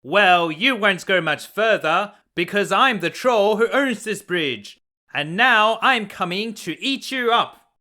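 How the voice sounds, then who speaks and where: A man voicing a big, angry, bullying troll in a children's storybook, taunting and menacing with a booming, forceful delivery. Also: expressive, exaggerated voice. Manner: taunting, menacing, drawn-out questions.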